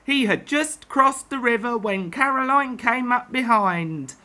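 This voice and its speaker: Woman, children's storyteller, highish voice, very bright, measured pace. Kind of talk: expressive children's story narration, read aloud.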